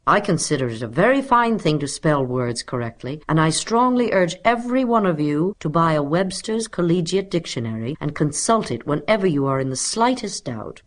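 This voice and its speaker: Woman voicing a pompous little male schoolteacher, animated. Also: sits low, moderate pace, hardly any pauses, voice pushed up. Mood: pompous lecturing. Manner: pompous, professorial, commanding. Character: small dapper male substitute teacher, pompous and snappy. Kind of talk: children's storybook character voice.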